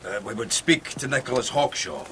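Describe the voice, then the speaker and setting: An actor playing a hearty, seasoned Scottish soldier in a radio drama, genial and formally courteous. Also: male voice, medium pitch, big pitch swings, moderate pace, background noise, lightly acted.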